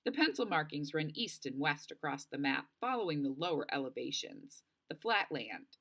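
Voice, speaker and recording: A woman narrating a children's novel aloud in an expressive storybook style, acting out the dialogue. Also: low voice, moderate pace. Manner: animated, acts out dialogue.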